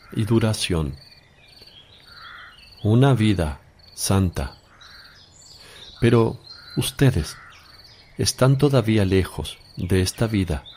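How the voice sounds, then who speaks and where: An actor playing a solemn, authoritative religious master who instructs disciples in measured phrases in a radio drama. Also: male voice, low-pitched, darkish tone, slight background noise, full character voice. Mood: solemn, firm.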